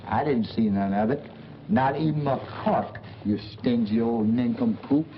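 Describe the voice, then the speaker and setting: An actor playing a boastful, wheedling small-time schemer in an old film comedy, with folksy, ungrammatical talk. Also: male voice, medium pitch, a little slow, close to an everyday voice. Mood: scornful. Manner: folksy, ungrammatical, blustering bragging. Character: boastful, wheedling boarding-house man, middle-aged, small-time schemer. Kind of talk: 1940s film comedy acting. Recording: muffled, noisy old film soundtrack.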